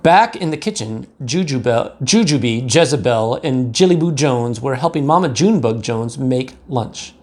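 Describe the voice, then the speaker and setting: A man's voice: medium pitch, expressive, moderate pace, hardly any pauses, a little mellow. An American man, a pastor, talking simply and directly to young children and narrating a picture book. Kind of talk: children's storybook read-aloud.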